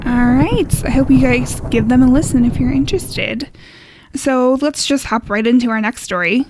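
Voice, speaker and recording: Woman podcast host with heavy vocal fry. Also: sits high, moderate pace, background noise. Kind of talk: casual chat.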